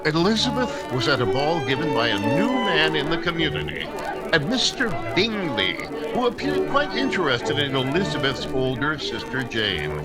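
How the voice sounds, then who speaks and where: A man's voice: a little high, very animated, normal speed, over loud music, a loud crowd. A man announcing and narrating in a theatrical 1940s radio style.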